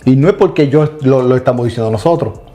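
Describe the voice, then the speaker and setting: A middle-aged man in a casual religious discussion with a dark, mellow voice. He speaks emphatically and turns to address the audience directly. Soft music plays faintly behind him. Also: mid-range voice, quick pace. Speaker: co-host of a Bible study channel.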